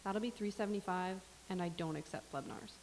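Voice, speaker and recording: A woman's voice: fairly low pitch, brisk, darkish tone, close to an everyday voice. An actress playing a dry, sardonic woman serving tea in a staged comedy reading. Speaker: café server character. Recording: reverberant space with faint hiss.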